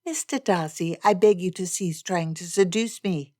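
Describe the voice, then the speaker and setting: A woman voicing a spirited, stubborn young gentlewoman in a period romance audiobook, speaking in emphatic, impassioned formal phrases. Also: lowish voice, moderate pace, dry recording, studio quality, close to an everyday voice. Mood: indignant.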